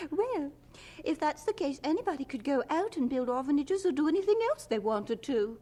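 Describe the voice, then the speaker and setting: A woman's voice: sits high, expressive, normal speed, warm tone. An actress playing a chatty older lady, a gushing and fussy hostess, in a radio drama. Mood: flustered.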